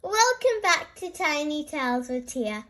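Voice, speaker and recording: A high-voiced woman reads a children's picture book aloud. Her delivery is slow and expressive, with a lively melody that swings up and down for a very young audience. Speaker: storyteller, channel host.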